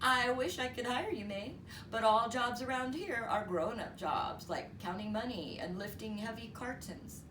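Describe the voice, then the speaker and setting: A woman voicing a kindly male storekeeper from a picture book, jovial and gentle, with a lilt. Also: on the high side, expressive, normal speed, hardly any pauses, voice pushed up. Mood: kindly, regretful. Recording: roomy, distant mic, noisy.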